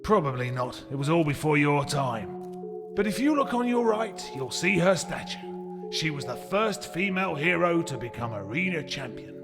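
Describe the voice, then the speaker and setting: Older game guide, high creaky voice, chatty, reminiscing, long hall reverb. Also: male voice, animated, moderate pace. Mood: admiring, nostalgic. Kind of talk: video game voice acting. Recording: long echoing hall, music behind.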